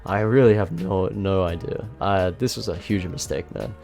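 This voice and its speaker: Congested, slightly nasal low-voiced man. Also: normal speed, vocal fry. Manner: self-mocking, relaxed, frequent fillers. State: congested, blocked nose. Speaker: gameplay commentator. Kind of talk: casual voice-over commentary on his own match. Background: faint background music.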